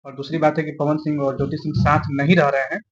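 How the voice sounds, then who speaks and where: A man's voice: fairly high pitch, flat delivery, fast, highs cut off, crowd noise. A man with a Bihari accent answering interview questions informally, making his points emphatically and repeating them. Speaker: interview guest commenting on film-star news.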